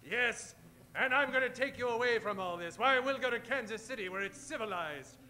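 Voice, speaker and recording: A man plays a bumbling cowboy hero in a radio spoof. The voice is high, bright and sharp, swinging widely in pitch. He is hammy, pompous and casually bigoted. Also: animated, brisk, exaggerated voice. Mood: self-important. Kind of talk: comic old-time radio acting.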